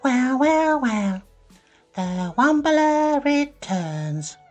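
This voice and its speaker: A man's voice: very high-pitched, sing-song, drawn-out words, soft background music, pitched above the performer's own voice, full character voice. A man voicing a cheeky small boy who talks in a mocking, mimicking way in a story.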